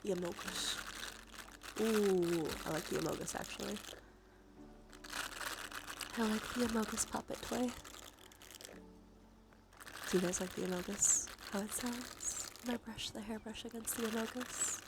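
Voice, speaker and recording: A woman streaming ASMR, talking softly and breathily into a close microphone, gently repeating phrases to her live chat. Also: medium pitch. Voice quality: whispery, breathy. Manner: gentle, slow repetition of phrases. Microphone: close sensitive ASMR microphone. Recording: soft music, crinkling behind. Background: music, crinkling and brushing sounds.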